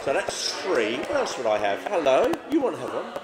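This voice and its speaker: Male guest, emphatic film-loving storyteller, lively intonation, echoey room with heavy crowd din. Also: on the high side, brisk. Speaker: podcast guest. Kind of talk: animated casual chat about favourite films. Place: busy pub, indoors.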